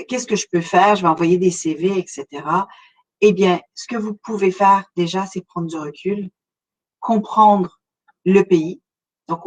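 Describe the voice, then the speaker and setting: A woman's voice: lowish voice, rough recording. A middle-aged woman from Montreal with a Québécois accent, presenting a webinar in a conversational way, speaking straight to her listeners with frequent hesitations. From Montreal, Canada. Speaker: webinar presenter, relocation consultant.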